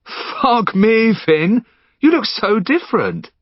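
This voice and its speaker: A man voicing a manic, unkempt young man who is obsessed with his old friend, talking in a high, frantic, giggling way. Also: big pitch swings, slow pace, no echo, full character voice. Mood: gleeful. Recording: slightly dull dry studio recording.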